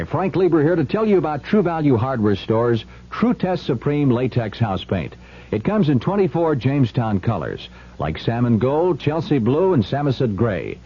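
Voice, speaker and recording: A man reading a scripted radio commercial as a spokesman, pitching directly to the listener. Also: breathy edge. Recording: muffled old radio broadcast.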